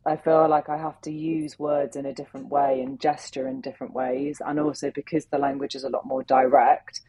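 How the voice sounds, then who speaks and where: A woman's voice: very deep voice, level tone, a little fast, dark tone. A woman answering interview questions as a workshop facilitator, earnest and thoughtful, talking in long flowing sentences. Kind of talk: reflective conversational interview answers.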